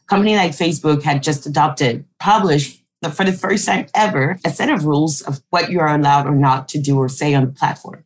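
A woman from Cameroon, explaining her advocacy work on a panel in a measured way, correcting herself now and then. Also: low voice. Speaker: digital rights advocate. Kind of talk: panel discussion answer.

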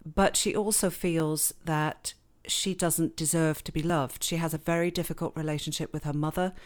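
Low-voiced English woman, chatty. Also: clean tone. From born in England, grew up in Switzerland. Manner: chatty, self-deprecating, laughs often. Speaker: novelist, interview guest. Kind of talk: casual interview chat.

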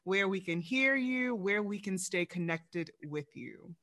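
A woman's voice: mid-range voice, expressive, a bit bright. A woman hosting a casual interview, bubbly and slangy, drawing her words out. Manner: bubbly, slow and drawn out, slangy. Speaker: interview host.

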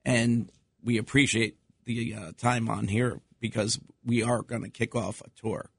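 A man's voice: mid-range voice, flat delivery, a little slow, gravelly, slightly rough, no room sound. A man speaking as a producer guest in an interview, giving brief replies.

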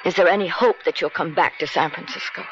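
A woman's voice: lowish voice, quick pace, lightly acted. An actress in a radio drama playing a clinging, heartbroken mistress pleading with her departing lover. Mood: hurt, pleading. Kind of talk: 1970s radio drama acting. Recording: dull radio recording over music.

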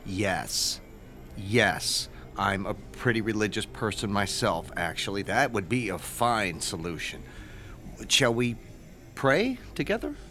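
A man playing a nervous, ingratiating school guidance counselor in his twenties in an audio drama, speaking haltingly. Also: fairly low pitch, big pitch swings, sharp tone, faint music behind, exaggerated voice. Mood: sheepish. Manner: hesitant, ingratiating, halting with ums.

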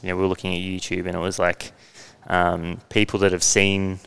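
A man hosting a podcast, his voice low and smooth. He asks friendly questions in a halting way, padding them with ums and restarts. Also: monotone, fairly bright tone. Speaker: podcast host. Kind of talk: casual podcast interview.

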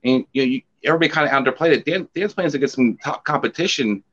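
Fast-talking excited co-host man. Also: mid-range voice, muffled recording. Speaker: podcast co-host. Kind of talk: casual podcast chat.

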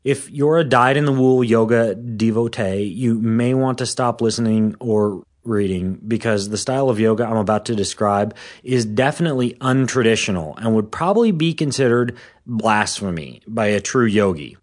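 A man hosting a fitness podcast, a little hoarse, explaining things in an informal scripted way. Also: fairly low pitch, normal speed. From Washington State.

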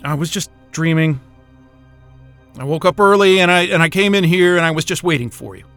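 An actor playing a defiant, indignant astrophysicist trapped at a polar station in a science-fiction audio drama, sputtering and cursing. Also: male voice, fairly high pitch, a little fast, fairly bright tone, dry recording, faint music behind. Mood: groggy, evasive.

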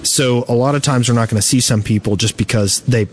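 A man's voice: mid-range voice, a little fast, a little hiss or hum. A man hosting a casual live podcast at a convention, talking with many restarts and fillers. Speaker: podcast host.

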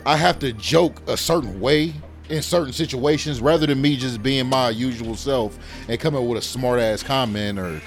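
A man with a deep voice chatting casually and sarcastically, laughing often. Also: moderate pace, few pauses, soft background music. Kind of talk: casual podcast chat.

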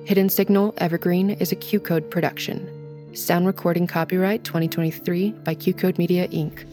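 A woman's voice: lowish voice, fairly even intonation, normal speed, mellow. A woman announcer giving a scripted reading of credits. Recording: music behind the voice.

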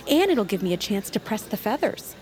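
A woman's voice: medium pitch, sing-song, a little fast, noisy background, natural voice. A woman playing a chirpy, self-promoting town councilwoman in an audio drama, with glib, peppy patter. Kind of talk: audio fiction acting.

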